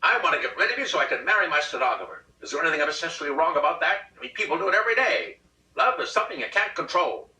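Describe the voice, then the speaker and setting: Guy doing a whiny exasperated husband from a comic story, real swoopy and sharp, roomy far-off mic. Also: a little high, very animated, rapid-fire, voice pushed up, full character voice. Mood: indignant. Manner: pleading, exasperated, emphatic. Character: peevish, childishly insistent middle-class husband plotting murder. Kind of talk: dramatic reading of a comic short story. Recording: roomy, distant rehearsal recording.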